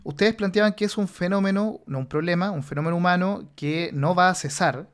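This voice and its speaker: A man's voice: high-pitched, brisk. A man hosting a podcast, formally summarizing what the guests have said. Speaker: podcast host. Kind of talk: moderating a discussion.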